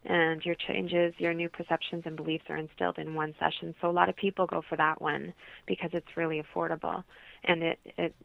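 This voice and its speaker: A woman's voice: lowish voice, little pitch movement, a little fast, gravelly. A woman interview guest explaining her holistic practice conversationally over a thin, phone-like line.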